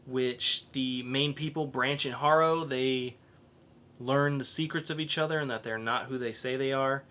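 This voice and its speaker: A man's voice: mid-range voice, a bit bright. A man hosting a podcast, chatting casually about comics and films. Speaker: podcast host. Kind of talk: casual comics and film review chat.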